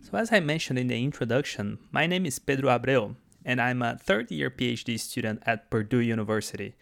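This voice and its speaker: Brazilian man hosting with a gravelly voice. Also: medium pitch, expressive, fairly quick, fairly bright tone. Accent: Brazilian Portuguese. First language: Portuguese. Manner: enthusiastic, chatty, with frequent restarts and asides. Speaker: podcast host. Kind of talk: solo podcast monologue.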